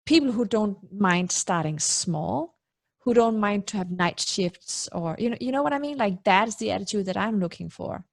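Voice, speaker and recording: A woman's voice: medium pitch, expressive, moderate pace, creaky, dry recording, clean studio sound. A woman in her forties who grew up in Denmark, a guest speaker in a web interview, talking in an effusive, personal way with many "you know" fillers. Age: 40s. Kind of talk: candid interview talk. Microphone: video call.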